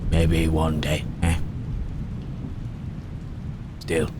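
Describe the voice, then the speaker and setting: A man playing a gentle, melancholy Frankenstein's monster in an ASMR roleplay, speaking haltingly and thoughtfully in old-fashioned, formal phrases. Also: very deep voice, completely flat delivery, heavily acted. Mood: wistful, grave. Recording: rain and thunderstorm noise throughout. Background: rain and thunder.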